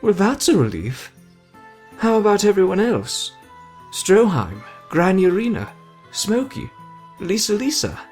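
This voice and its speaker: Guy doing a excitable young anime hero, high voice, playful and dramatic, asmr roleplay, music under it. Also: a little slow, long pauses, heavily acted. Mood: surprised, curious. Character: brash excitable young man, wounded hero recovering. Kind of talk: ASMR roleplay acting addressed to listener.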